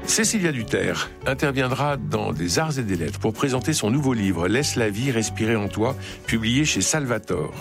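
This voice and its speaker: A man's voice: mid-range voice, expressive, moderate pace, gravelly. A man reading a scripted radio programme promo as an announcer. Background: music.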